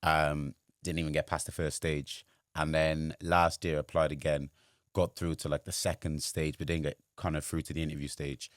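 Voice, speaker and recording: A man's voice: very deep voice, level tone, normal speed, no room sound, clean studio sound. A man, a guest telling his career story in casual chat, rambling with lots of fillers. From Wales. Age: 20s. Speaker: guest, sports journalist.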